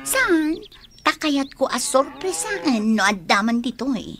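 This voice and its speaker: Boastful young ilocano girl, high lilting voice, gushy, radio drama. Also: big pitch swings, a little slow, a bit bright, heavily acted. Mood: coaxing. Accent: Ilocano Filipino. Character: boastful young woman chasing a rich boyfriend.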